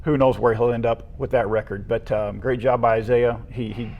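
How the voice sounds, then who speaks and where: An American man talks as co-host of a local high school sports program. He sounds casual and conversational as he reels off names and stats, in a voice of middling pitch. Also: fairly even intonation, moderate pace, dull audio, a little hiss or hum. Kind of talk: casual sports discussion, reeling off names and statistics.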